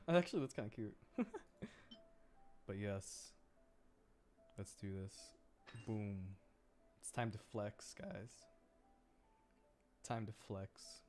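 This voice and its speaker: A man doing casual livestream gameplay commentary, excitable and thinking aloud as he plays. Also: medium pitch. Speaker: streamer.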